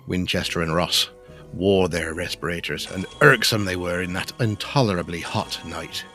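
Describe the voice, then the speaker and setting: Solemn deep gothic storyteller, audio drama narration, faint music behind. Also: male voice, fairly even intonation, moderate pace, pushed and bright.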